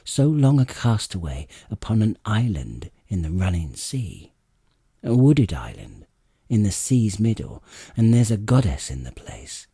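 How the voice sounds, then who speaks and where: A man voicing an earnest goddess pleading with her father in an audiobook, reverent and impassioned, in his low husky voice. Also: warm tone, dry recording, studio quality, exaggerated voice.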